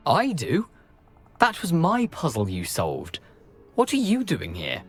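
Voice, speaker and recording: Roguish male ghost, theatrical, swooping pitch, game character, faint music. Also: huge pitch swings, slow pace, slightly rough, full character voice. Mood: indignant. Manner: theatrical, jaunty, courteous. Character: jaunty, lovelorn ghost of a roguish beheaded man. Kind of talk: video game character acting.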